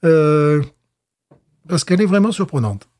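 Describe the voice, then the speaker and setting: A man's voice: fairly high pitch, lively intonation, unhurried, a little mellow. A man co-hosting a podcast, tasting a beer in casual chat, hesitant with many fillers and restarts. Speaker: podcast co-host.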